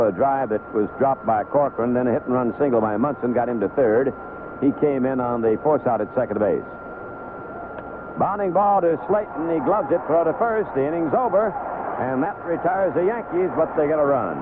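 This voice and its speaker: A male baseball announcer gives live play-by-play at a medium pitch, in short conversational phrases with pauses between them. The sound is a thin, band-limited old AM radio broadcast, with plenty of noise around the voice. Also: lively intonation. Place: broadcast booth at a baseball stadium. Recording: noisy lo-fi 1970s AM radio broadcast.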